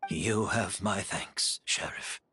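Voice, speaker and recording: A man acting a polite, remorseful ailing gentleman in a video game, speaking reflectively and deliberately. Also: low voice, faint music behind, exaggerated voice. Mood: remorseful, calm. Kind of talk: scripted video game dialogue.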